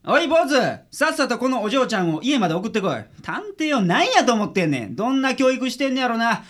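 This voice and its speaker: A woman acts as a bad-tempered private eye in a radio drama. He grumbles and scolds in blunt Kansai dialect. The delivery is animated, with a gruff, sarcastic edge. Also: fairly high pitch, fast. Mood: irritated. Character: grumpy, foul-mouthed private detective man. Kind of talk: community radio drama acting.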